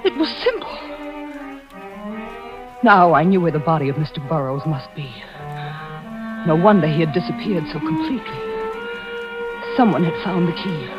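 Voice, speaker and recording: A woman's voice: low voice, big pitch swings, normal speed, long pauses. A woman playing an anxious, devoted wife turned amateur sleuth in old-time radio suspense, with breathless, melodramatic urgency. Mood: tense, fearful. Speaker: actress narrating and playing the lead. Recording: muffled vintage radio recording with music.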